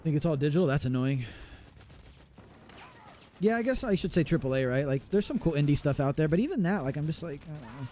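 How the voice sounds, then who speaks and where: A man in his thirties chats with his stream audience while playing, rambling and swearing freely. His voice is somewhat high but mellow and soft-edged. A faint hiss sits beneath the talk. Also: expressive, fast talker, pauses often. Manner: casual, profane, rambling. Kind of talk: live gameplay commentary.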